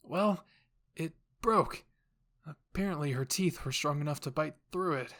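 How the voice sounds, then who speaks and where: A man's voice: fairly high pitch, measured pace, a bit bright. A man playing an earnest, anxious young male soldier in an anime fan story, explaining things seriously. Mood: uneasy.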